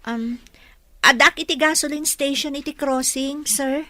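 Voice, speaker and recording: Bright, high-voiced young provincial woman. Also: fairly even intonation, a little slow, dry recording. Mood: polite, surprised. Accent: Ilocano. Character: humble young provincial woman employee, polite to her boss. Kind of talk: Ilocano radio drama acting.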